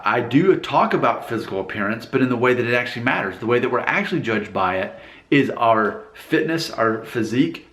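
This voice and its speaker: A man's voice: fairly low pitch, fairly bright tone, reverberant. A man hosting his own channel, speaking to camera in a candid, emphatic, preachy monologue. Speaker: YouTube channel host. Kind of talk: casual talking-to-camera monologue.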